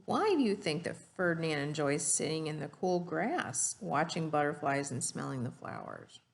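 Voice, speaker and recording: Creaky, low-pitched woman reading to children. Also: wildly swooping pitch, moderate pace. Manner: simple explanations with questions to young listeners. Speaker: teacher reading a storybook to children. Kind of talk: storybook read-aloud and lesson for children.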